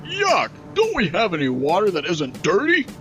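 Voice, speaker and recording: A man voicing a bossy, childish male raccoon gang leader who shouts whiny demands, with music behind. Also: high-pitched, huge pitch swings, moderate pace, voice pushed up. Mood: bossy demanding.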